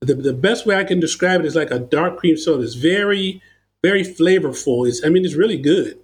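Brief: American male guest, lively anecdotal style, slightly high voice. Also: a little fast. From United States. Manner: animated, uses analogies, repeats words when starting thoughts. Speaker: podcast guest. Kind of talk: conversational storytelling.

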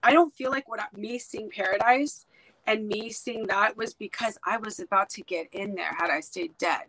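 A woman's voice: medium pitch, normal speed, a bit bright, low-quality recording. A woman whose first language is Hebrew, talking bluntly and emphatically as a guest in a casual podcast conversation.

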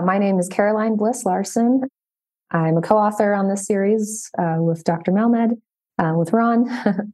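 A very mellow, dark-toned woman's voice. She sits a little low in pitch and talks casually as an interview guest, with a slightly hesitant, giggly manner. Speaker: podcast guest, children's book co-author.

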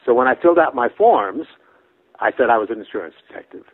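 A man's voice: mid-range voice, expressive, fast talker. Rambling old man on a tinny line. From Brooklyn, New York. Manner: rambling anecdotes that trail off softly, with restarts and chuckles. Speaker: interview guest. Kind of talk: casual storytelling interview. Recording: phone-like narrow band.

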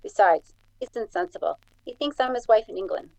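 Radio drama role, female actor: compassionate young nursing figure, soft-spoken and soothing, mid-range voice, closely recorded. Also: medium pitch, expressive, fairly quick, creaky, dry recording, rough recording. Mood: defiant. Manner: hushed, soothing. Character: soothing, compassionate grown daughter nursing a wounded man.

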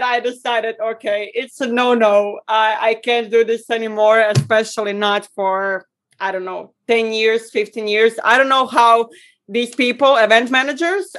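A woman's voice: on the high side, fairly bright tone. A woman from Croatia, a copywriter and podcast guest, explaining her work in a friendly way with light laughs. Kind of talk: interview answers.

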